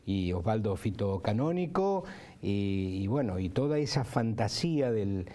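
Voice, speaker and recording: A man's voice: on the low side, warm tone. A man with an Argentine accent reminisces reflectively in an interview, often ending phrases with a tag question.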